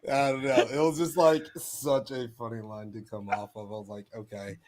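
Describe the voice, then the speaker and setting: Laid-back joking host from Zimbabwe. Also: male voice, medium pitch, level tone, moderate pace, background noise. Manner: loose and joking, laughs easily. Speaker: podcast host. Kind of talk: casual live-stream chat.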